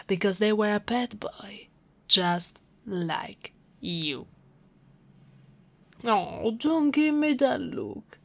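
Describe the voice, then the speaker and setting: Casting: woman playing sultry, smug lady sheriff for ASMR roleplay, lowish voice, unhurried, flirty taunts sliding into whispers. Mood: teasing, smug. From Italy. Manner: sultry, teasing, breathy drops into whispers. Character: teasing, smug, flirtatious female sheriff gloating over captive. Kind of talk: ASMR roleplay, scripted acting.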